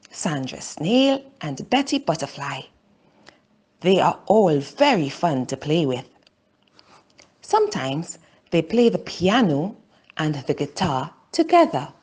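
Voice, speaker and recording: Slow, expressive woman reading a children's story. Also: low-pitched, husky. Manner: deliberate, simple phrasing with pauses. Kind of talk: slow scripted story narration for language learners.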